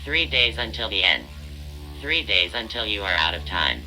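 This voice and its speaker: A low, menacing automated phone message voiced by a man, made for an audio drama. It announces doom slowly and flatly, with clear music underneath. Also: exaggerated voice, poor audio. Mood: ominous.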